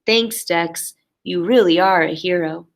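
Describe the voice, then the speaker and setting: A woman's voice: lowish voice, a little slow, voice pushed down. A woman voicing a big, lazy, sheepish tomcat in a children's picture book read-aloud.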